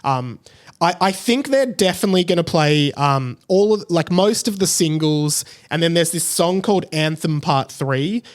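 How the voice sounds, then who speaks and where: A young Australian man hosting a casual podcast. His voice sits a little high for a man, and he talks in an enthusiastic, gushing, chatty way. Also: lively intonation, moderate pace. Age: late 20s. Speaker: podcast host.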